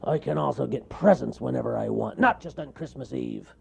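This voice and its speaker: A man voicing a grumpy, bossy male bear in a storybook, loud and scornful, shouting and sneering. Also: medium pitch, huge pitch swings, a little fast, creaky, slightly rough, pitched above the performer's own voice, exaggerated voice. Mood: angry boastful.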